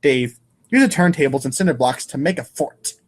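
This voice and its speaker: A man's voice: medium pitch, animated, normal speed. A man narrating an informal comic read-aloud show in a playful, theatrical manner. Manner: playful, theatrical, voices sound effects.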